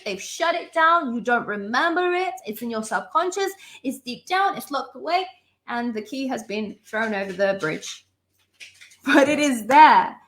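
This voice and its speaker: Young woman from lithuania coaching a live class, fervent and repetitive, calls everyone my loves, voice on the high side. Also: a little hiss or hum. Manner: emphatic, impassioned delivery with lists of repeated phrases; addresses listeners warmly as sisters and loves. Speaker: relationship coach. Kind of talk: live online masterclass talk.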